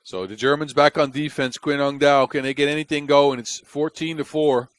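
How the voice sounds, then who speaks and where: A man's voice: on the high side, steady tone, normal speed, no echo. A man from the Netherlands with a Dutch accent, giving chatty, wry play-by-play basketball commentary on a close mic. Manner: chatty and wry, with jokes between plays.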